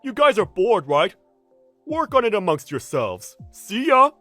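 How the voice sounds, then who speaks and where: A man's voice: sits high, huge pitch swings, a little fast, fairly bright tone, husky, faint music behind, voice pushed up, full character voice. A man voicing an arrogant, scornful and lazy senior office worker in an anime-style dub, with a sneering, dismissive manner. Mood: brash, dismissive.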